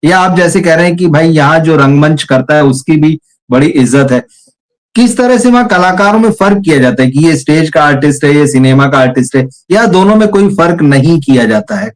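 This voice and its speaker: Rambling male interviewer, slightly high voice. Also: animated, fairly quick, low-quality recording. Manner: long rambling questions, frequent short acknowledgments. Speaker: interviewer, host. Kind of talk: casual interview questions.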